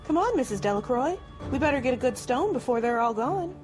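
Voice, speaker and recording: A woman acting a bustling, callously hurried village neighbor in a radio drama, brisk and urging. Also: sits high, very animated, darkish tone, faint music behind.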